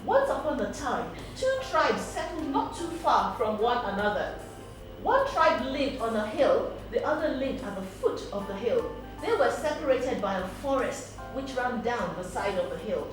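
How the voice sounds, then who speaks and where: A woman telling a story to children, pausing to explain and ask questions. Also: fairly high pitch, very animated. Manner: explains words, asks questions. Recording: echoey, distant mic, music behind.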